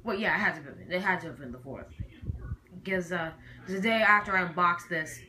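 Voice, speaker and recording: A hobbyist presenter with a feminine-sounding voice giving a casual, halting, rambling show-and-tell in a noisy amateur home recording. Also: medium pitch, expressive, pushed and bright.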